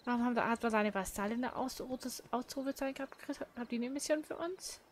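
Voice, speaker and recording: A woman co-commentates on a game in a casual, conversational way. Her voice is slightly high, with faint background noise. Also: fast talker. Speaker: let's play co-commentator. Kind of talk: casual chat over gameplay.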